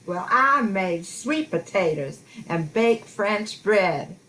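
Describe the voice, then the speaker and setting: A woman's voice: mid-range voice, expressive, slow, bright, forceful tone, a little hiss or hum, rough recording. A woman playing a kindly, hospitable Cajun bayou woman in an amateur radio play. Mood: welcoming.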